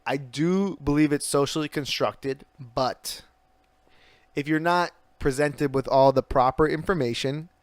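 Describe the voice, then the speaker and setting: A man's voice: a little high, a little slow, no room sound. A young man hosting a podcast, loose and joking, swearing casually as he tells his own story. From grew up in Singapore. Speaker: podcast host.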